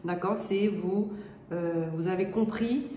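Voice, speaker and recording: A woman reading tarot cards aloud while she has a cold, her nose stuffy. Her voice is middle-pitched and she talks in a hesitant, rambling way, often checking in with her listener. The room echoes, with faint noise behind her. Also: slow, dark tone. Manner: hesitant, many fillers and rephrasings. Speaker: tarot card reader. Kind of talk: casual spoken tarot reading.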